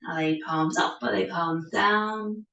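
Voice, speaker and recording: A woman leads a yoga class from some distance to the microphone, her voice echoing in the room. She speaks very slowly and gently, leaving pauses as she guides the meditation. Her delivery is soothing and unhurried. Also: fairly low pitch, creaky, highs cut off. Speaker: yoga teacher.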